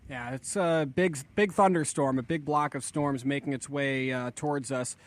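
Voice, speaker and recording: A man's voice: mid-range voice, fairly even intonation, normal speed. A man hosting live radio coverage in a conversational, unscripted style. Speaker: radio host. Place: dry radio studio.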